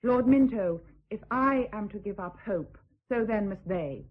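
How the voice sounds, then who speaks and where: An actress playing a dignified, steadfast deserted wife of rank in a period radio drama, speaking in a measured, formal, resolute way. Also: female voice, mid-range voice, husky, muffled recording. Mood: firm, proud.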